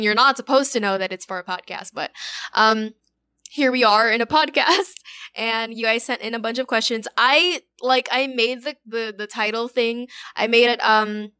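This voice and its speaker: A young woman with a slightly raspy voice hosting a solo podcast, rambling and self-deprecating with lots of fillers and giggles, recorded on a phone. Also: on the high side, pushed and bright, no echo. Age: young adult. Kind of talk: casual solo chat. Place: at home, alone. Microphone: phone wrapped in a sock.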